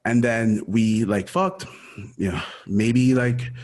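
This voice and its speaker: A man's voice: mid-range voice, steady tone, slow, a little mellow. A man in his thirties, born in Ecuador and raised in Miami, talking through a personal story as an interview guest, casual and full of "dude" and "like.". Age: 30s. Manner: casual, says dude and like often.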